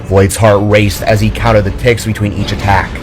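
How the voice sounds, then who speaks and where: A man's voice: on the low side, flat delivery, normal speed. Man narrating a dramatic story over music. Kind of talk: scripted audio drama narration.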